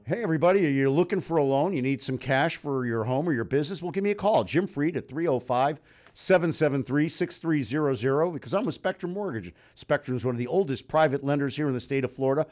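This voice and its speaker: High-pitched man, informal radio host. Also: fast, a little mellow. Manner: informal, folksy, direct salesman address. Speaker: radio host and mortgage lender. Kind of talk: ad pitch and live radio interview.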